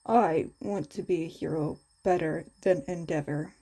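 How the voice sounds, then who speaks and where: A serious, reserved teenage boy from an audio story, voiced by a woman, pitched down from the narration. He speaks in a subdued, terse way. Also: measured pace, dark tone.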